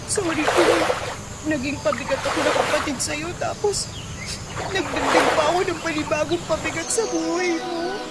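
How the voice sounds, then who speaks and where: A woman's voice: high-pitched, steady tone, moderate pace, close to an everyday voice. A woman playing a remorseful young new mother. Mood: apologetic. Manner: apologetic, tearful. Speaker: younger sister. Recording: loud background noise. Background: noise.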